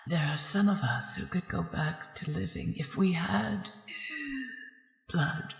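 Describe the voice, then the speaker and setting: Slow, deep undead ghost woman. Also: vocal fry. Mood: eerie, hungry. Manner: slow, theatrical, whispery, commanding and taunting. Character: menacing, bloodthirsty undead female ghost. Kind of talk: old-time radio horror drama acting. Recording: very echoey reverberant hall, music underneath.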